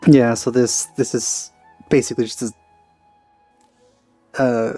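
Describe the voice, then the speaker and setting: A man giving casual, low-key and wry commentary while playing a video game. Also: little pitch movement, a little slow, warm tone, dry recording, soft background music. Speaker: let's play commentator.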